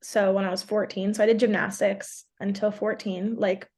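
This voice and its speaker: A woman's voice: mid-range voice, level tone, moderate pace, darkish tone. Chatty young woman from New York. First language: Portuguese. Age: 20s. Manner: chatty, fills speech with "like". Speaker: podcast guest. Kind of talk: casual interview chat.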